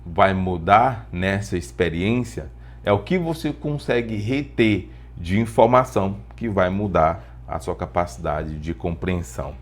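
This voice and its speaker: Brazilian man teaching, explanatory tone. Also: sits low. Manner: explanatory, repeats phrases for emphasis, rhetorical questions. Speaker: English teacher. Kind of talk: informal spoken lesson with personal storytelling.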